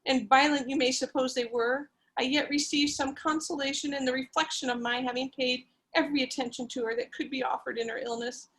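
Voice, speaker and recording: A woman reading a story aloud with theatrical, breathless melodrama. Her voice sits high, and she plays the lead and narrates over a video call. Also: a bit bright, rough recording.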